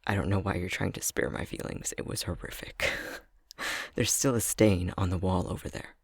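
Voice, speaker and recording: Soft gravelly, flustered young boyfriend played by a man. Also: deep voice, steady tone, normal speed, dry recording, studio quality, full character voice. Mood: self-deprecating, amused. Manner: hesitant, stammering, self-deprecating. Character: clumsy, self-conscious fox-shifter boyfriend, eager but flustered. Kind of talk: intimate ASMR boyfriend roleplay.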